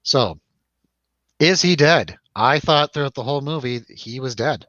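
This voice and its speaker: A man hosts a casual review show in a medium-pitched voice, close and dry on a slightly muffled mic. He is jokey and likes a showy announcer flourish between bits of loose banter. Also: measured pace, pushed and bright, no echo. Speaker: host of a movie review show.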